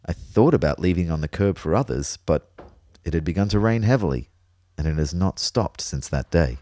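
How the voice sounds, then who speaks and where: Actor as low-voiced, reflective young boyfriend. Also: male voice, lively intonation, normal speed, warm tone, no echo. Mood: sombre, reflective. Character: reflective, concerned young boyfriend, a fitness fanatic. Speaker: narrator and lead character. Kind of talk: first-person audio drama narration and dialogue.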